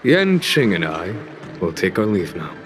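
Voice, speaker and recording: A man voicing a composed, courteous general in an anime game, calm, formal and measured. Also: deep voice, over loud music. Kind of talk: anime game cutscene voice acting.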